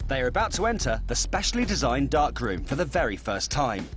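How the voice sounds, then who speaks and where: A man narrating a dating show in a lively, dramatic scripted voice over music. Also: mid-range voice, brisk. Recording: voice-over with loud music behind.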